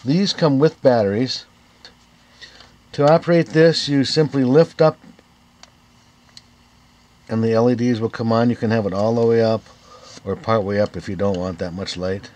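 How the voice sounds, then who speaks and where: A man's voice: medium pitch, normal speed, long pauses, darkish tone. A man in his fifties presenting a product review in a plain, step-by-step instructional way. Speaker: video host, gear reviewer. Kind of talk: product review narration to camera.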